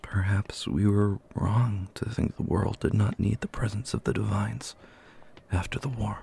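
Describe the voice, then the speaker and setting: Man playing a brooding immortal prince, low pitch, slow delivery, gravelly, grave, commanding, fantasy audio roleplay. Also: steady tone, mellow, slight background noise. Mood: solemn.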